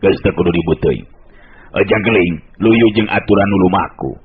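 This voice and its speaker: A male storyteller voicing a dignified old martial arts master in a radio tale, calm and commanding. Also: deep voice, moderate pace, breathy edge, phone-quality sound.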